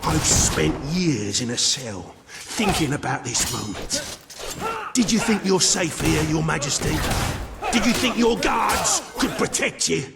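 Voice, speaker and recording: A man's voice: on the high side, very animated, unhurried, creaky, exaggerated voice. A man voicing a vengeful, fanatical escaped prisoner turned assassin in a video game, speaking in a theatrical, declamatory way. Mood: furious, defiant. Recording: long hall reverb under loud music.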